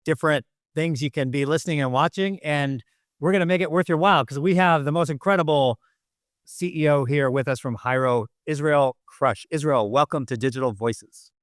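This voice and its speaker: A man's voice: a little high, a little fast, no echo, studio quality. A man hosting a podcast, enthusiastic and conversational as he welcomes and questions a guest. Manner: enthusiastic, conversational, uses "you know". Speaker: podcast host. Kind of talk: casual interview hosting.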